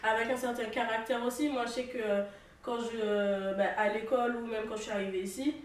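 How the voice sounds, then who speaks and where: A young woman from the French Antilles talking casually, relaxed and hesitant, with phrases that trail off. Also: a little high, fairly quick. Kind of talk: casual conversation. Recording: echoey room, distant mic, faint noise.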